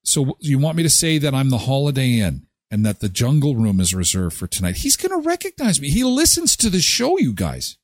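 A man's voice: medium pitch, huge pitch swings, brisk, few pauses, dry recording. A man hosting a live show, talking in a presenter's conversational style. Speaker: radio show host. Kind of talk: live show chat and event promo reading.

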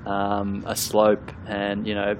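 A man interviewing a guest in a relaxed, halting way, his low voice staying flat. Clear background noise sits under his speech. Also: poor audio. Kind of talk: casual interview.

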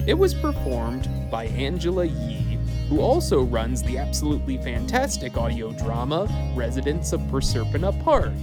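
A man reads scripted credits as a podcast host. His pitch is middling and moves around a lot, and loud music runs behind him. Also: very animated, moderate pace, hardly any pauses. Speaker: podcast host, writer and producer.

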